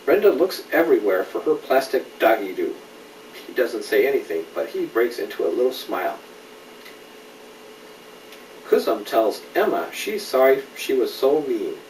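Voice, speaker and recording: A middle-aged man reading a children's story aloud in an animated way, with conversational questions to a young listener. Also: medium pitch, moderate pace. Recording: roomy, distant home recording with noise.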